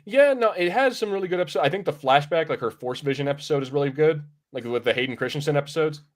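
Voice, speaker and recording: A man's voice: fairly high pitch, animated, fast talker. Casual, sweary man on a review podcast. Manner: sweary, rambling, informal.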